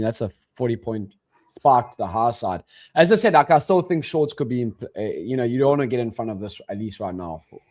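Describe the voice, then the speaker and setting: A man with a South African accent, chatting casually as co-host of a live trading stream, relaxed and saying bro a lot. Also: mid-range voice. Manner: relaxed, says bro and yeah often.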